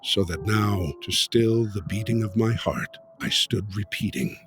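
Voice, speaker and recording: A man giving a dramatic reading of a poem, with a slow, brooding, theatrical delivery that drops to hushed low passages. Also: background noise. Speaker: reader.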